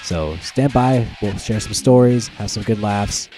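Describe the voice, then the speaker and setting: A man's voice: on the low side, unhurried, mellow. A man hosting a podcast in casual, informal banter, as if chatting with a buddy. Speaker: podcast host. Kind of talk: casual chat. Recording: roomy echo, faint music.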